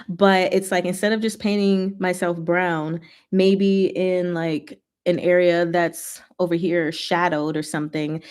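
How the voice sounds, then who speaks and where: A woman hosting a casual, rambling livestream chat with viewers, talking in an expressive, playful way. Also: on the low side. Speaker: livestream host answering chat.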